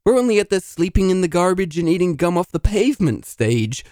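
A man's voice: high voice, very animated, fairly quick, warm tone, no room sound, studio quality. A man doing a melodramatic, self-pitying loser. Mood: dramatic. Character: self-pitying failed male dungeon master. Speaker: narrator. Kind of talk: comedy audio drama.

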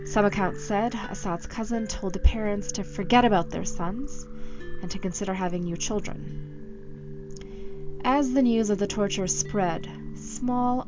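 A woman narrates a scripted news piece in a steady, even voice. Music plays clearly beneath her. The sound is slightly dull and lo-fi. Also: medium pitch. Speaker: host, narrator.

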